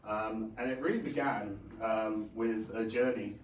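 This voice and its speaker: A middle-aged man with a hoarse, croaky voice gives a rambling conference talk, full of fillers. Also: on the low side, fairly even intonation, unhurried. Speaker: conference speaker. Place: conference stage. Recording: echoey hall, distant mic, faint noise.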